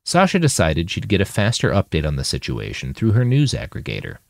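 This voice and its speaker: A man with a deep voice narrates fiction like a dramatic audiobook. His pitch swings very widely, and the sound is close and dry. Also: huge pitch swings, brisk, gravelly, dry recording. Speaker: author reading his fiction.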